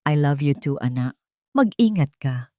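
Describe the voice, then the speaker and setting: A woman with a Filipino accent voicing a soft-spoken, long-suffering older mother in a story. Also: low-pitched, lively intonation, unhurried, no room sound, clean studio sound. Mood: hiding hurt. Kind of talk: storybook character voice.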